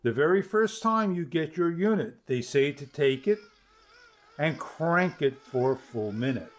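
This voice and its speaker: Male product reviewer, fifties, slightly high voice, explaining features step by step in halting phrases. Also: expressive. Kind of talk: product demonstration to camera. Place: small home studio room, indoors.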